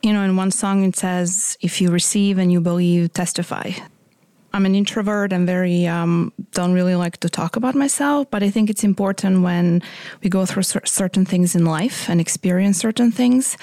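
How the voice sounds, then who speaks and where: A woman's voice: fairly low pitch, normal speed, mellow, no echo. Croatian-accented woman sharing her story. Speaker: interviewee. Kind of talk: personal testimony interview.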